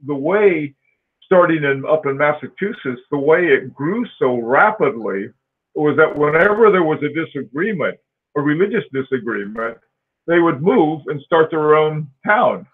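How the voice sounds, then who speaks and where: A man in his forties hosts a loose live-stream chat, wandering through his thoughts with many fillers, restarts and quick laughs. His voice sits a bit higher than most men's and comes through a thin, phone-like line. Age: 40s. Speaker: host.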